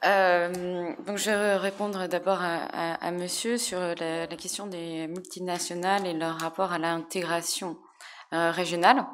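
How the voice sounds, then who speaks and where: A woman historian on a conference panel, answering audience questions with many pauses and restarts. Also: fairly low pitch, a little hiss or hum. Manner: hesitant, many fillers and restarts.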